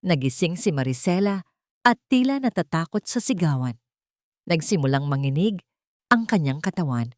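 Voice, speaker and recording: A woman's voice: sits low, sing-song, normal speed, no echo, studio quality. A woman reading a scripted dramatic story as its narrator.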